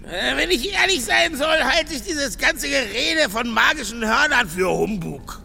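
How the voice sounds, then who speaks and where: An actor playing a gruff, blunt dwarf warrior, skeptical but loyal, with a gravelly voice in a radio play. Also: male voice, very high voice, animated, measured pace, bright, forceful tone, faint music behind, heavily acted. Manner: blunt, blustering.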